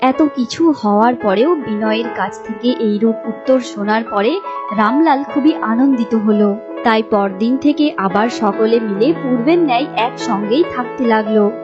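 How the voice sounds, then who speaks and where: A woman's voice: a little high, normal speed. A woman reading a scripted moral folk tale as storyteller. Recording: dull lo-fi sound with music behind. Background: clear background music.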